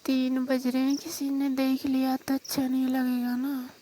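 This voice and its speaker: A woman playing a shy, hesitant young married village sister-in-law on a staged night phone call, with a Rajasthani accent and a coy, soft-spoken manner. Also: sits high, completely flat delivery, a little slow, slight background noise. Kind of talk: staged phone-call role-play in casual talk.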